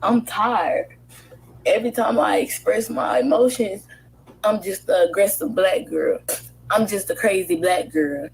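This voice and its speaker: A woman's voice: mid-range voice, unhurried, gravelly, husky, poor audio. A woman giving an impassioned monologue in a weary voice, repeating herself.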